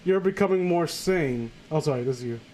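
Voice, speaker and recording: A man's voice: on the high side, animated, fairly quick, warm tone, a little hiss or hum. A man hosting a comedic reading show, chatting casually with sarcastic, crude banter. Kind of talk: casual joking group commentary and read-aloud.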